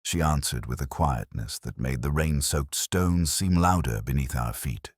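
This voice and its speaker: A man narrating a literary detective story in the first person, with a solemn, measured, brooding delivery. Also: a bass voice, no room sound, clean studio sound.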